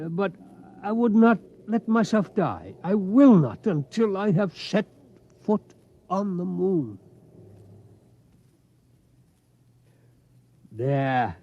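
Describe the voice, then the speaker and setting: Stubborn old tycoon, dull radio recording. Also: male voice, a little high, a little mellow, slight background noise. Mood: wistful, determined. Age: older. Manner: rambling, ending phrases with a questioning "hmm". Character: ailing old tycoon, stubborn, obsessed with reaching the moon. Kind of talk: 1950s radio drama acting.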